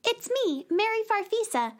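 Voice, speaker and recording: A woman's voice: extremely high pitch, sing-song, normal speed. A woman voicing a young girl space explorer in a radio play, earnest and polite, stammering when nervous. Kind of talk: radio drama acting.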